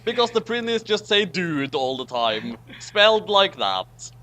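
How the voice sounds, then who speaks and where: Very high-pitched, animated man, unhurried. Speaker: co-host reading fan fiction aloud. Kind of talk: theatrical reading and casual banter.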